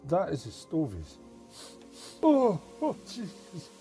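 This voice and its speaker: A man's voice: a little high, huge pitch swings, takes it slowly, mellow, faint music behind, exaggerated voice. A man playing an outraged, blunt male kitchen inspector in a story, scolding with emphasis. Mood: disgusted.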